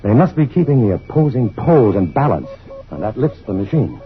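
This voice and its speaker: An actor playing an earnest scientist hero in an old radio drama, intent and urgent. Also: male voice, mid-range voice, very animated, brisk, faint music behind, close to an everyday voice. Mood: intent, hushed. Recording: muffled vintage radio.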